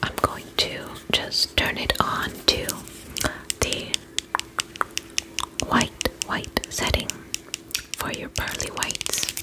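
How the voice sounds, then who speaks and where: A woman whispering breathily in a gentle, soothing, reassuring way as a spa attendant in an ASMR personal-attention roleplay. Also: slow pace, long pauses, low-quality recording.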